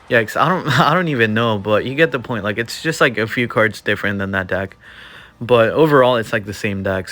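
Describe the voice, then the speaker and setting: A young man giving casual play-by-play commentary over his own mobile game match, with lots of filler words. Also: medium pitch. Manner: relaxed, filler-heavy play-by-play with many "like" and "um". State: slightly losing his voice. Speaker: gaming youtuber. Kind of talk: casual live gameplay commentary.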